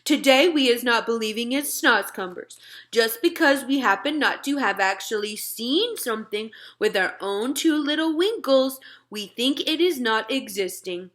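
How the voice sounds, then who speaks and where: A woman's voice: on the high side, lively intonation, moderate pace, fairly bright tone, pitched above the performer's own voice. A woman voicing a very old friendly giant in a children's storybook, declaiming theatrically and indignantly in garbled grammar. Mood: grand, teasing. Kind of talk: children's storybook read-aloud.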